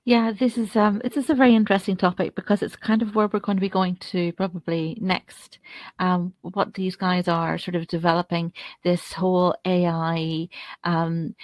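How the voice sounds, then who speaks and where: A woman with a slightly low voice, recorded very dry and close. She talks as an interviewer in a casual, conversational way, rambling a little as she works through her thoughts. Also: no room sound. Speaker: interviewer, host.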